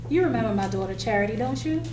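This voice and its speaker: Bossy-sweet mother figure, read by a woman actor, higher voice, familiar manner, echoey room. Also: lively intonation, racing, warm tone, lightly acted. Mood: motherly, coaxing. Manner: familiar, addressing others as baby. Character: motherly middle-aged woman, matchmaking, bossy yet sweet. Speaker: actor at a script table read. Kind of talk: table read of a film script. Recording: roomy, distant, noisy with hum.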